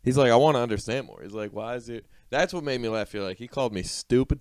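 A man's voice: medium pitch, lively intonation, fast, a little mellow, smooth, no echo, studio quality. A young man chatting casually and jokingly in a slangy, amused way on a handheld mic, with slight noise. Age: young adult. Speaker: comedy show host. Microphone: handheld microphone.

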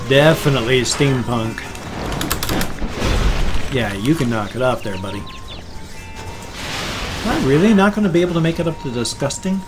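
An older man in his sixties hosts a casual let's play, chatting and muttering along as he goes. His voice sits at an ordinary middle pitch, recorded close at home, with loud game music behind him. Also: expressive, moderate pace, pauses often. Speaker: gaming channel host. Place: small room at home. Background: loud video game music.

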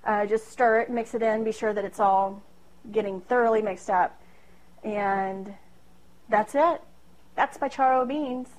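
A woman's voice: a little high, unhurried, pauses often, low-quality recording. Chatty woman cooking at home. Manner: chatty and self-deprecating, with frequent fillers and laughs. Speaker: home cooking video host. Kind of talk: casual cooking demonstration. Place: small home kitchen. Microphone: camera across the room.

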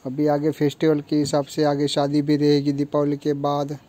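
Flat-voiced young man showing garments. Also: a little high, normal speed. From India. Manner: flat, matter-of-fact listing of features. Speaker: kurti factory seller. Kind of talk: product showcase walkthrough. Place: clothing store with fabric shelves.

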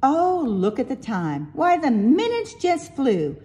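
A woman voicing an eager, playful talking cat guide from a storybook in an excited, urging manner. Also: high voice, slow, voice pushed up, full character voice. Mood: eager, hurried.

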